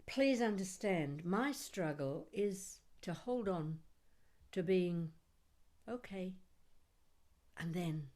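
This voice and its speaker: A woman reading her own poetry aloud, with deliberate, measured phrasing, dramatic pauses and short chatty asides. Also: fairly low pitch, animated, warm tone. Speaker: poet reading own work.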